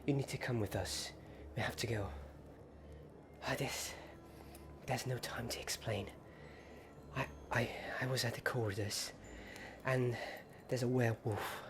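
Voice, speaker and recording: A man playing an anxious, urgent young wizard student in an ASMR fantasy roleplay, hushed and halting, with clear noise in the recording. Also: mid-range voice, fairly even intonation, lightly acted. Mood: alarmed.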